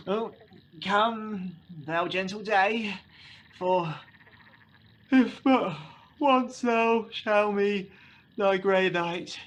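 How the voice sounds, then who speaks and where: A man's voice: high-pitched, very slow, pauses often, fairly bright tone, slight background noise, heavily acted. A man acting an angry, weary young lover in a Shakespearean radio play, declaiming verse in broken phrases with sighs. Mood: exhausted, frustrated.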